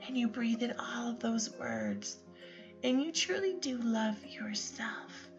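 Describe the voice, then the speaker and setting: A woman telling a children's sleep story in a gentle, half-whispered voice. She speaks slowly and sits a little high in pitch. The recording is slightly muffled, with lullaby music playing clearly beneath her. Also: animated. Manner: soft and soothing, often whispered. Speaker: bedtime meditation guide.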